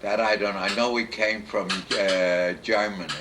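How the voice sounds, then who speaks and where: Elderly man in his eighties, fairly low voice, slow halting answers, home video, faint hiss. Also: fairly even intonation. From United States, born in Poland. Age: 80s. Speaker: interviewee, family elder. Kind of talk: home video family interview. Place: home in a retirement community.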